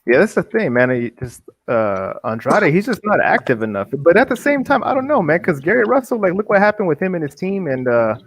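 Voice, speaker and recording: A man's voice: high voice, very animated, fairly quick, hardly any pauses. A man on a podcast panel talking casually about boxing, rambling with lots of fillers. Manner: loose, rambling, filler-heavy. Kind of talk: casual chat.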